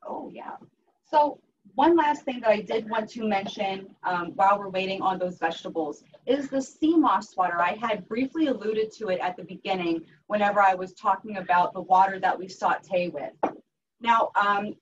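A woman's voice: medium pitch, normal speed. A woman in her thirties with a Western Pennsylvania accent, a health coach teaching a live cooking class in an upbeat, earnest way with frequent ums and restarts. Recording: distant mic, dull sound, faint noise.

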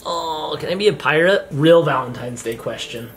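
A man's voice: on the high side, lively intonation, moderate pace, fairly bright tone, faint music behind. Playful man gaming with his wife. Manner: playful, joking, self-mocking. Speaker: vlog host. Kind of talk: casual couple gaming chat. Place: home gaming room, indoors.

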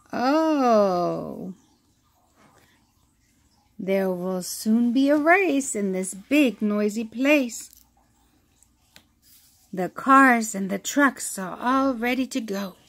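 A woman reads a children's picture book aloud at a very slow, deliberate pace. Her voice sits fairly high and has a creaky edge, and her delivery is expressive. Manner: slow, expressive reading with acted-out sound effects.

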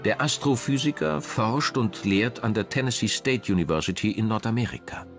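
A man reading a scripted documentary narration in a measured, dramatic manner. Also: fairly low pitch, music in the background.

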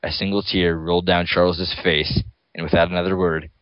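A man's voice: low voice, level tone, fairly quick, no room sound. A man giving a dramatic reading of a story as its narrator. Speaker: reader. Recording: dull lo-fi close recording.

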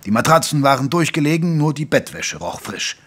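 An actor playing a gentlemanly doctor who narrates an audio drama in articulate, literary, measured speech. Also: male voice, fairly high pitch, big pitch swings, a little hiss or hum. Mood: descriptive. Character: gentlemanly male doctor, detective's loyal companion, narrating. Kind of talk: scripted first-person audio drama narration. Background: music.

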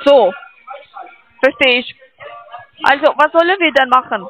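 A woman with a foreign accent, a non-native speaker, making a halting sales call by phone. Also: high voice, a bit bright. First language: not German. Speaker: call centre trading scam caller. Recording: phone-like telephone line.

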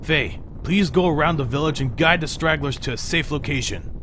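A man's voice: on the high side, moderate pace, fairly bright tone, a little hiss or hum, natural voice. A man playing an earnest, protective village doctor in a game fan dub, speaking formally and with urgency. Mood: commanding. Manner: formal, precise wording, urgent.

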